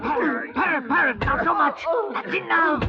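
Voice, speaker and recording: A man's voice: extremely high pitch, normal speed, creaky, a loud crowd. An actor playing an anxious, questioning castaway man in an old-time radio drama, agitated and pleading. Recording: noisy, muffled vintage radio recording.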